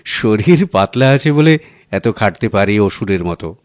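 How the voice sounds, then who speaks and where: A man's voice: lowish voice, lively intonation, measured pace, no echo, clean studio sound. A man voicing a confident, boastful businessman husband in an audio story, breezy and self-assured. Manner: breezy, self-assured, drops in English words. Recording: music playing behind the voice.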